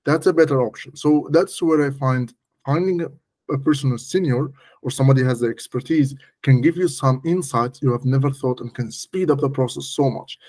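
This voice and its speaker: A man's voice: medium pitch, brisk, a little mellow. A man speaking as a guest expert, giving casual, emphatic, step-by-step technical explanations in conversation. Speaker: guest malware analysis expert.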